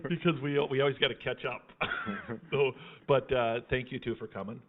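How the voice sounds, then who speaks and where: A man's voice: medium pitch, slow, heavy background noise. A man chatting casually as host of a live fundraiser, in a folksy, teasing way. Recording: thin phone-like sound.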